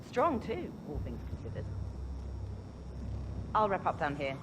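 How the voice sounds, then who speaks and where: An actress playing a brisk, polite and officious clinic recruiter in a video game, speaking formally. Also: female voice, lowish voice, sing-song, background noise. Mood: pleased, brisk. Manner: formal, courteous, businesslike.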